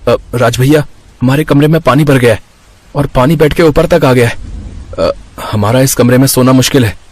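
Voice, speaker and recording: A man's voice: medium pitch, darkish tone, a little hiss or hum, close to an everyday voice. A man playing a young husband in a family story, earnest and plain-spoken.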